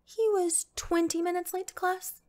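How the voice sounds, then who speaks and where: A woman's voice: very high voice, little pitch movement, normal speed, darkish tone. A woman playing a sociable, friendly teenage schoolgirl in an amateur audio drama. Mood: casual.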